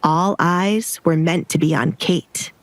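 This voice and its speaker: Low-pitched woman narrating a story. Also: fairly even intonation, slow, no room sound. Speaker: audiobook narrator. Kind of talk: scripted dramatic story narration.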